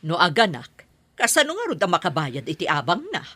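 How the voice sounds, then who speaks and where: An actress with an Ilocano accent playing a kindly, childless married landlady in an audio drama, with a husky voice. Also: lowish voice, wildly swooping pitch, fairly quick, bright, forceful tone, dry recording, heavily acted. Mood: concerned.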